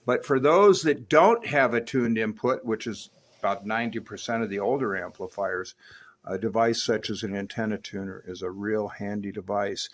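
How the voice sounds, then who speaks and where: A man's voice: on the low side, normal speed. A man presenting a hands-on product demo with an enthusiastic manner. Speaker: host demonstrating radio gear.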